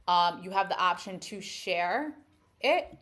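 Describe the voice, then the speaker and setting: A woman with an American accent talks through a demo in a casual, explanatory way. Her voice sits a little lower than most women's. She presents as a content creator, regularly checking that the listener follows. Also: lively intonation, slow pace, fairly bright tone. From United States. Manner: explanatory, often checking in with "right?". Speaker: travel content creator and host. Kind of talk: casual screen-share app walkthrough.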